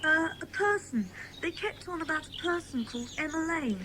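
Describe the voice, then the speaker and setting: A woman's voice: very high voice, expressive, moderate pace, noisy background. A woman acting a timid, earnest young boy in a dramatised children's audiobook, hesitant and worried.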